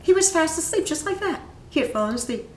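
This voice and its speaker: Animated woman reading a picture book aloud. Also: very high voice, fast talker, slight background noise. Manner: animated, dramatizes dialogue, asks listeners questions. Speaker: instructional coach reading aloud to children. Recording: echoey room.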